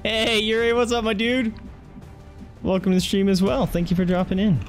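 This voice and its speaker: A man's voice: high-pitched, little pitch movement, normal speed. A man doing casual live gameplay commentary, reacting and swearing as he talks to himself and his viewers. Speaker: game streamer. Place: indoors, streaming setup at a computer. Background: game music.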